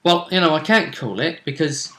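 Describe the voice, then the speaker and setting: A man's voice: a little high, lively intonation, moderate pace, fairly bright tone. A man hosting a role-play vidcast, talking casually through previews of upcoming matches.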